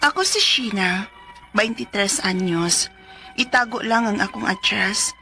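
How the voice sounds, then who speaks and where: An actress with a Cebuano accent playing an aggrieved, excitable woman in her twenties in a radio drama. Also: medium pitch, unhurried, soft background music. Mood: earnest, formal. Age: 20s. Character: aggrieved young woman, chatty and excitable, telling her troubles. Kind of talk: Filipino radio drama acting and narration.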